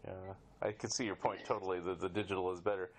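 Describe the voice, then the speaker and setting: A man with a fairly low voice hosts a casual interview. He words his questions loosely and hesitantly, in an informal, conversational way. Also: flat delivery, fast talker. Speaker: podcast host, interviewer.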